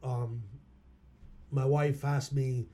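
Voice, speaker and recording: A hoarse man from Pennsylvania, a local musician, telling stories about his past in a plain-spoken way. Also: mid-range voice, little pitch movement, drawn-out words, mellow. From Schuylkill County, Pennsylvania. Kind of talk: casual interview chat.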